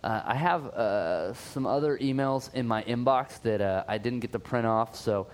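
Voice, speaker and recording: A man's voice: mid-range voice, moderate pace. A man co-hosting a casual podcast, chatty and upbeat, with frequent "uh" fillers and restarts. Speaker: podcast co-host.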